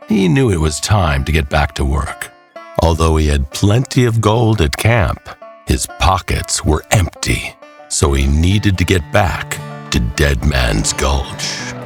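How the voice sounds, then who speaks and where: A man narrating a scripted story with measured, dramatic, drawn-out phrasing. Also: rumbling low, expressive, faint music behind.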